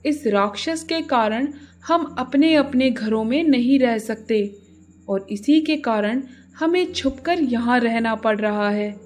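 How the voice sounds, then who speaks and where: A woman voicing serious, worried forest birds in a cartoon fable, speaking gravely. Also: fairly high pitch, takes it slowly, a little mellow, pitched below the performer's own voice.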